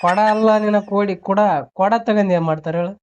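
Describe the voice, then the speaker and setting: A man's voice: high voice, fairly even intonation, fast, a little mellow. A man with a North Karnataka accent on a phone call, needling and scolding as he repeats his questions in casual banter. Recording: noisy, band-limited phone call recording.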